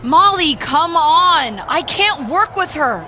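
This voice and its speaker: Bright, feisty young wrestler. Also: female voice, high-pitched, animated, slow pace, a little hiss or hum, full character voice. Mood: indignant. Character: feisty, stubborn young female pro wrestler. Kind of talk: video game cutscene dialogue.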